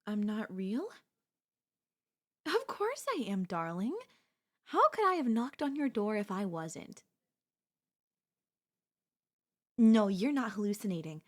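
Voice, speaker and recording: Woman acting a lovestruck, obsessive yandere stalker woman. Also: mid-range voice. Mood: offended, adoring. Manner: theatrical, coaxing, addresses listener as darling. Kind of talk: ASMR audio roleplay, girlfriend-style monologue.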